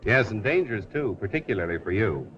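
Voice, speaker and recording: A man's voice: on the low side, sing-song, fast talker, a crowd in the background. A man playing a suave, flippant amateur sleuth and newspaper columnist, bantering wryly in 1930s film style. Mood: wry, teasing. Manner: wry, bantering. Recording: dull, noisy 1930s film soundtrack.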